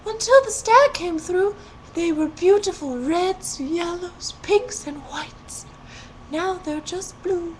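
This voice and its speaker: A woman voicing a sweet, sorrowful young peasant maiden in a storybook tale, earnest and breathy. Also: slow, a little hiss or hum, low-quality recording. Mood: wistful. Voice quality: high, breathy.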